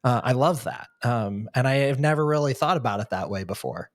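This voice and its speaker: A man's voice: mid-range voice, expressive, a little fast, no room sound, studio quality. A man hosting a podcast, asking long rambling questions with many restarts. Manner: rambling, self-interrupting questions. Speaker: podcast host. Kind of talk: casual interview chat.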